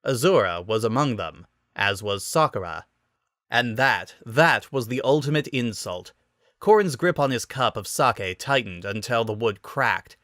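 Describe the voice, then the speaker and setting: A man with a mid-pitched voice narrates a story dramatically. His delivery is expressive and wry, clearly scripted, and the sound is very dry with no room around it. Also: unhurried, no room sound.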